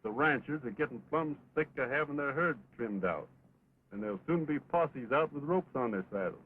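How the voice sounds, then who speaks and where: An actor playing an earnest, steadfast American cowboy in an early talkie western, speaking in a plainspoken drawl. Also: male voice, mid-range voice, lively intonation, normal speed, lightly acted. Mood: grave, earnest. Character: earnest, steadfast cowboy pleading with his wayward friend. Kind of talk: early 1929 talkie western film acting. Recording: muffled, scratchy 1920s film soundtrack.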